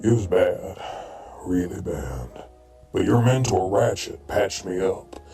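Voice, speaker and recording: Big friendly robot army vet guy doing it, swoopy low voice, echoey and off mic. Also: takes it slowly, gravelly, recorded from a distance, full character voice. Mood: earnest, grateful. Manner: hearty, expressive, jovial. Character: hearty, joking, fat robot ex-soldier turned medic. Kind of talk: audio drama acting. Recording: roomy, off-mic, music behind.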